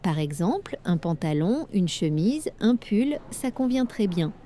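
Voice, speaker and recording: A woman reading everyday French dialogues for learners, with clear, careful scripted delivery. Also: medium pitch, big pitch swings, unhurried, warm tone, slight background noise.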